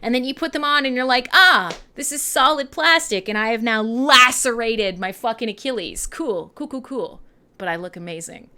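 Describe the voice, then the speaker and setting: A woman in her thirties with a Canadian accent, hosting a radio show in irreverent, sweary, sarcastic casual chat with listeners. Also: fairly high pitch. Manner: sweary, sarcastic, animated, laughs often.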